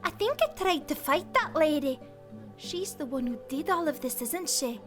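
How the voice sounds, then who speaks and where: A woman voicing a plucky young girl godling, speaking softly and earnestly. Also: sits high, animated, moderate pace, soft background music, voice pushed up. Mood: wistful. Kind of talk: audio drama.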